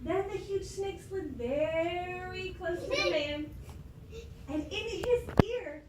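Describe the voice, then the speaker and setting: A woman teacher doing an expressive read-aloud for her class, stopping to give them prompts. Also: extremely high pitch, slow, background noise. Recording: echoey classroom from far off.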